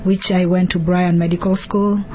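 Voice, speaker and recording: A woman's voice: lowish voice, level tone, normal speed, warm tone, soft background music, poor audio. A woman with a Kenyan accent, telling her personal story as an interview guest. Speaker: interview guest, home care business owner.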